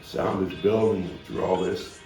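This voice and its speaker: Very low, gravelly man setting a scene. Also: a little slow, darkish tone, faint music behind. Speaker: playwright and actor. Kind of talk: explaining stage directions of own play. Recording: roomy, noisy, distant microphone.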